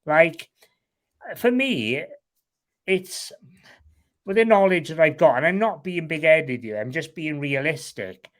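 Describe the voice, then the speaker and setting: A man's voice: on the high side, animated, slow pace, long pauses. A man with a Welsh accent, a guest talking candidly in an interview, frank and rambling, swearing casually. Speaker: guest, online seller.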